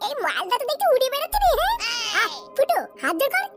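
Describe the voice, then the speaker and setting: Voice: extremely high pitch, wildly swooping pitch, rapid-fire, loud music behind the voice, exaggerated voice. A performer voicing a brash, crude, cocky young village prankster in a comic cartoon dub. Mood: cheeky. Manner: cheeky, crude. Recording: very noisy background ambience.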